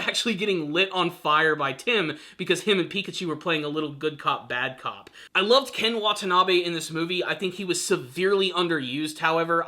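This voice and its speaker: Bright, higher-pitched man giving an enthusiastic review. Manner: gushing, emphatic, stretches key words. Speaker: film review channel host. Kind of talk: enthusiastic video review commentary to camera.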